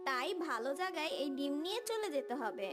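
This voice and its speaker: Female narrator for children's stories: very high, animated delivery over clear background music. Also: fast. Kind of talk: scripted children's story narration.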